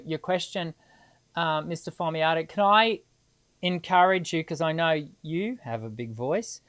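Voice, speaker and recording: A woman's voice: low voice, clean tone. A middle-aged woman, hosting a live singing Q&A and answering viewers in a chatty, encouraging way. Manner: chatty and encouraging, many restarts and filler ums.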